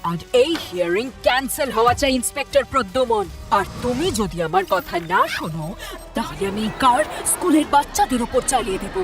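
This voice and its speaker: A woman's voice: a little high, very animated, brisk, music in the background. A woman playing a taunting, threatening hacker villain in a cartoon crime drama, with a mock-friendly, teasing menace. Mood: menacing. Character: taunting car-hacking villain making cold threats. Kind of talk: animated crime drama acting.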